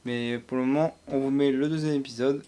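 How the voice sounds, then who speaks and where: A man with a French accent hosting a casual stream, talking in a relaxed, hesitant way. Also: mid-range voice, a little fast. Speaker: streamer host.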